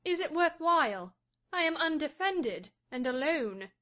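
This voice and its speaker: A man reading an audiobook voices a proud, defiant young wife, speaking softly and scornfully. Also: extremely high pitch, big pitch swings, measured pace, pitched above the performer's own voice, full character voice. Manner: soft, hushed and scornful. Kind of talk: audiobook reading of a classic novel.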